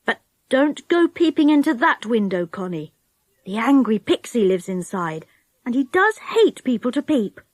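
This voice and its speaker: A woman's voice: a little high, sing-song, normal speed, dry recording. A woman voicing a confident young boy who teases with a grin. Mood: warning. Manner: teasing, grinning.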